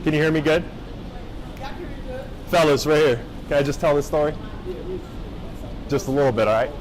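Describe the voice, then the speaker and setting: A young man from Japan giving a casual motivational talk to high school students, often checking in with the crowd. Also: moderate pace, slight background noise, poor audio. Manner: casual, engaging, checks in with the audience. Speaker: motivational speaker. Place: high school gathering space.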